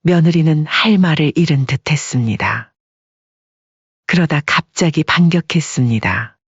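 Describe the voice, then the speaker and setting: A woman narrates a scripted family drama as an audiobook. Her voice is husky and mid-pitched. The recording is dry and slightly muffled. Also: measured pace, dry recording. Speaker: story narrator. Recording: dull lo-fi audio.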